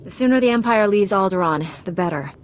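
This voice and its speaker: An actress playing a calm, honorable female knight in a video game, composed and formal. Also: medium pitch, fast, faint music behind, close to an everyday voice.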